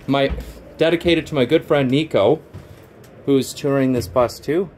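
A Canadian man filming a casual tour of buses on display, excited and halting, rattling off model details with restarts. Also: medium pitch, a little hiss or hum. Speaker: transit vlogger. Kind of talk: casual touring vlog. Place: bus display in a convention centre, indoors.

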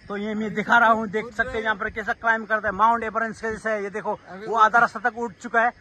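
A man's voice: sits high, little pitch movement, fast talker, sharp tone. A young man in his twenties chatting casually into his phone camera, narrating as he climbs a rocky hilltop outdoors. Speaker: vlogger.

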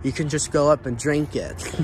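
A man's voice: fairly high pitch, a little slow, low-quality recording. An American man giving a casual walking tour as a vlogger, rambling and repeating himself as he goes. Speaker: vlogger touring abandoned buildings. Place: outdoors, walking around a building and parking lot. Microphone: handheld camera or phone. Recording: outdoor handheld recording with clear ambient noise.

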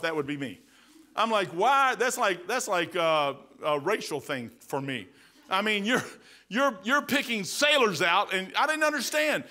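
A man's voice: sits high, sharp tone. A middle-aged man preaching a sermon in a church sanctuary, talking in an emphatic, conversational way with repeats and restarts. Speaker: pastor. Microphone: pulpit microphone, far camera.

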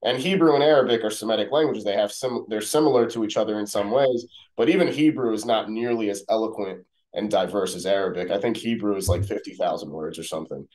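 A young man talks through a show in a loose, rambling way, full of fillers. His voice sits a little low. He is heard over a video call from a room at home. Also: fast talker, hardly any pauses, a little mellow, low-quality recording. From Middle East. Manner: rambling, lots of "like, you know" fillers. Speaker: co-host of a reality TV review podcast. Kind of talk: casual chat.

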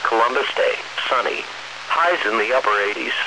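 Synthetic American man on noisy weather radio. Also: mid-range voice. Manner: measured, impersonal synthesized reading. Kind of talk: automated scripted weather bulletin.

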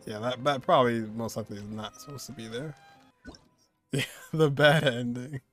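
A man's voice: medium pitch, normal speed, a bit bright. A young man reacts casually to a video game as a streamer. His voice sits in a middle register, with game music playing behind him. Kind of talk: casual live reaction commentary.

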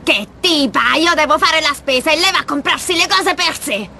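A woman playing a brash, boastful, clumsy teenage boy in an anime dub, excitable and blustering. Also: high voice, moderate pace, vocal fry, slight background noise, exaggerated voice. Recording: music behind the voice.

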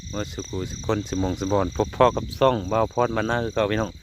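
Low-voiced Isan man chatting outdoors. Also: fairly even intonation, quick pace. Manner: folksy, repeatedly addresses viewers. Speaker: vlogger hunting frogs at night. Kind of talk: casual vlog chat to viewers. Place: outdoors at night in the countryside. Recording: outdoor recording with faint insect noise.

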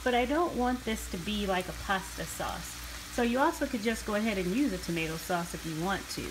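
A woman casually explaining her meal prep step by step in her home kitchen, recorded on a handheld phone. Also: a little high. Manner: chatty, explains step by step with fillers. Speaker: home cook vlogger. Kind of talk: casual cooking narration. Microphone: handheld phone camera. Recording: faint kitchen noise, sizzling pan.

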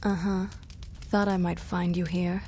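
An actress playing a cocky half-vampire huntress in a video game, with a sultry, sarcastic, flippant manner. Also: female voice, fairly low pitch, flat delivery, a little slow, background noise. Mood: bitter, cold. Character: sardonic, cocky half-vampire woman hunter. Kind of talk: video game cutscene acting. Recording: game audio with music behind.

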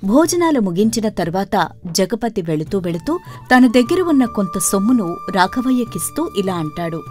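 కథ చెప్పే మహిళ, వెనుక సంగీతం. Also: female voice, medium pitch, big pitch swings, normal speed, darkish tone. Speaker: narrator. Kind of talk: scripted storybook narration. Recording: music under the voice. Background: background music.

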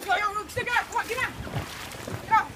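A teenage boy shouting and messing around while floating on an air mattress in a cold creek. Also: extremely high pitch, quick pace. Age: teens. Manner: loud, rowdy, yelling complaints. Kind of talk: rowdy play. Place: outdoor creek, in cold water. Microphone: phone camera from the bank. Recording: loud outdoor noise on phone audio.

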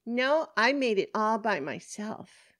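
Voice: mid-range voice, big pitch swings, slow, fairly bright tone, no room sound, studio quality, voice pushed up, exaggerated voice. A narrator voicing an eerie little girl, childlike, proud and sweetly polite, in an audiobook horror story.

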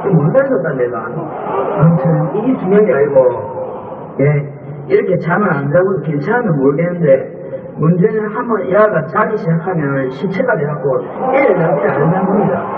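A man's voice: sits high, lively intonation, moderate pace. A young man with a Busan accent earnestly reading out a complaint letter about a bandmate who never sleeps. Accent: Busan Gyeongsang dialect. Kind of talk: reading a submitted letter with a disguised voice. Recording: distant, roomy, phone-like and noisy.

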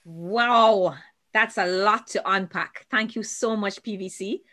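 A woman's voice: medium pitch, takes it slowly, a bit bright. A woman moderating a panel on a video call, introducing speakers in an upbeat, effusive way.

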